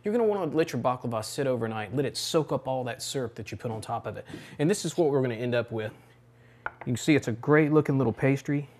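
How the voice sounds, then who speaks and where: A middle-aged man walks viewers through a recipe in his home kitchen, casual and easygoing. His voice sits at an ordinary pitch for a man, with plain, unhurried instructional phrasing. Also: slight background noise. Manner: relaxed step-by-step instruction with fillers. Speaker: cooking show host. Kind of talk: home cooking demonstration.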